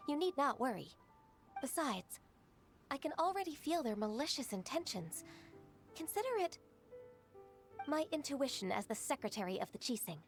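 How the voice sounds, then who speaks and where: A woman's voice: high-pitched, lively intonation, normal speed, pauses often. A woman voicing a polite, earnest young government secretary in a video game, speaking in a formal, composed way. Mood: earnest, resolute. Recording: studio voice over game music.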